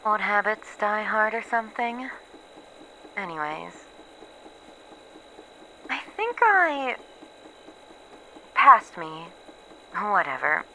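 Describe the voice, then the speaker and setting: A woman playing a bitter, weary researcher losing her memories, in a halting, sardonic, sighing monologue for an ASMR horror roleplay. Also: mid-range voice, very animated, bright, forceful tone, slight background noise, heavily acted, low-quality recording. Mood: musing. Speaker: voice actor in an audio roleplay.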